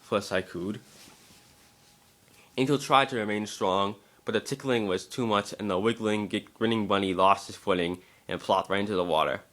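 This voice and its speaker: A man's voice: on the low side, moderate pace, a bit bright. A man narrating a story as an amateur dramatic reader, occasionally stumbling over the text.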